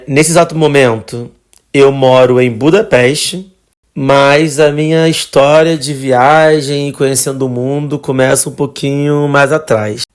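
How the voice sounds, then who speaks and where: Relaxed Carioca man telling his story. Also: mid-range voice. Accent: Carioca Portuguese. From Rio de Janeiro, Brazil. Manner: relaxed, conversational, with fillers and trailing phrases. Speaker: podcast guest, traveler. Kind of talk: casual personal storytelling.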